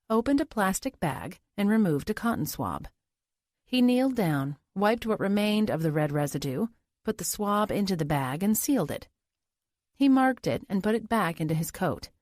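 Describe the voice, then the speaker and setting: A woman's voice: medium pitch, a little mellow, no room sound, clean studio sound. A woman reading scripted thriller audiobook narration.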